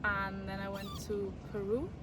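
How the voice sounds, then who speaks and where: A woman's voice: medium pitch, little pitch movement, normal speed, far from the mic, noisy background. A woman from Austria, chatting easily about her travels. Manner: easygoing, chatty. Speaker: fellow traveler. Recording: crowded outdoor background.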